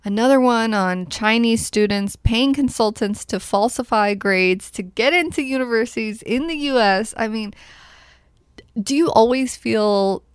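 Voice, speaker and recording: Female podcast host, playful and theatrical in casual chat, a bit higher-pitched, recorded dry. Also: measured pace, smooth, dry recording. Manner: playful, jokey, theatrical swings in voice.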